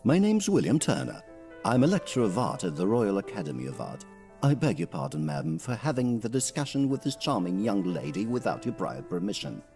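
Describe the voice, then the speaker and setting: An actor playing a polite, passionate gentleman painter and art lecturer in a period audio drama, speaking formally and effusively. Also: fairly low pitch, very animated, a little fast, faint music behind. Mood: courteous.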